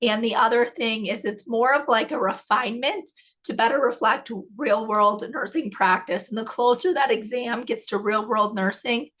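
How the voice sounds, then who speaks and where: Brief: woman presenter, live webinar host, hoarse and scratchy from a fading voice, upbeat and reassuring. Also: on the high side, moderate pace, fairly bright tone. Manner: upbeat, reassuring, filler-heavy. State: losing her voice, scratchy. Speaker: nursing education director hosting a webinar.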